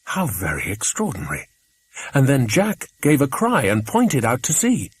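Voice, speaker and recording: Guy reading a kids adventure story, expressive, lots of pitch movement, a bit rough-edged. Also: moderate pace. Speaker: audiobook narrator. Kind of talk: scripted children's adventure narration.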